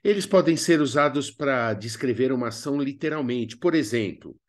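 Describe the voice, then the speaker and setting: A man with a Brazilian accent presenting an instructional lesson in a didactic, expressive way with colloquial asides. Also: medium pitch, fairly quick. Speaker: drama teacher presenting a video lesson. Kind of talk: instructional narration with acted examples.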